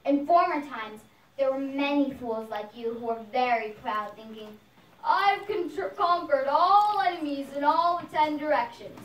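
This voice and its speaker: A woman's voice: high voice, expressive, unhurried. A woman voicing a saintly, fearless young boy prince who preaches earnestly in a puppet show, sounding recited. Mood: pleading, preaching. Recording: echoey noisy room, far from mic.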